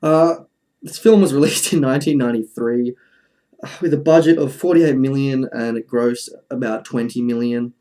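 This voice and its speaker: A man presents a casual video commentary in a mid-pitched voice. His delivery is dry and jokey, and he often interrupts himself as he talks. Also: normal speed, mellow. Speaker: video host.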